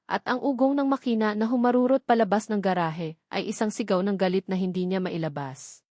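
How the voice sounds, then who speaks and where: A woman's voice: medium pitch, a little mellow, dry recording. Female narrator for romance audio drama, mid-range voice, emotive and suspenseful, close dry sound. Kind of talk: dramatic scripted storytelling.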